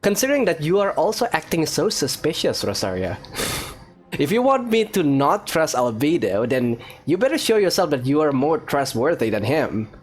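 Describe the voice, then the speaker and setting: A woman giving casual, playful game commentary. Her voice is very low for a woman but swings about in a lively way. She reacts aloud as she plays, with game music behind her. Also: normal speed. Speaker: gaming let's-play host.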